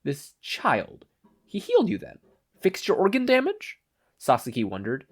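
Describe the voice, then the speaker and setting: Man putting on a fussy, high-pitched official. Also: wildly swooping pitch, unhurried, heavily acted. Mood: pondering. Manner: prim, exasperated, precise. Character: fussy, high-voiced male official, exasperated and analytical.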